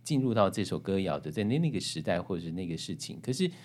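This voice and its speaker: Male podcast interviewer, very low register, Taiwanese Mandarin accent, talking conversationally with a guest. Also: big pitch swings, fast, darkish tone.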